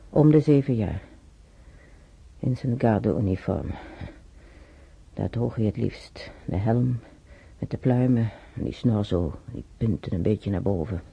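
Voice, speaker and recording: An actor playing an elderly man who haltingly reminisces in a radio drama, searching his memory and muttering to himself. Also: lowish voice, gravelly, dark tone, husky, heavily acted. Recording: muffled old radio drama recording.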